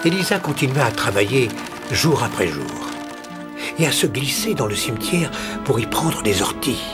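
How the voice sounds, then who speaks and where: A man's voice: medium pitch, big pitch swings, moderate pace. A man narrating a fairy tale as a storyteller, in a scripted reading. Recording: music behind the narration.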